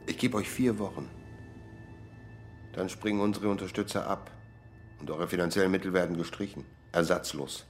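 Man acting a low-voiced, menacing terror commander. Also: soft background music, lightly acted. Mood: cold, commanding. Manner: curt, commanding, drawn-out threats. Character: menacing male terror commander, coldly threatening. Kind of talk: German crime radio drama acting.

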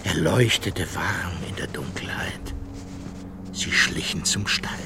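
Slow, low-voiced male narrator. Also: gravelly, in a whisper. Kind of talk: scripted storybook narration for children's radio drama.

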